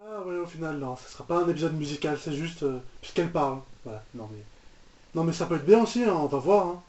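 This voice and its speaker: A man's voice: on the high side, lively intonation, fast, a little mellow. A man giving casual, off-the-cuff reaction commentary, hesitant, repeating words and trailing off. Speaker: reaction video host. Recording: echoey room, muffled lo-fi microphone.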